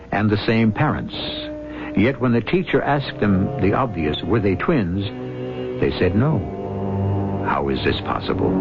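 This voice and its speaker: Low, creaky male radio drama host. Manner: deliberate, theatrical, posing questions. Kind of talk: scripted dramatic radio narration. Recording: dull 1970s radio recording, music behind.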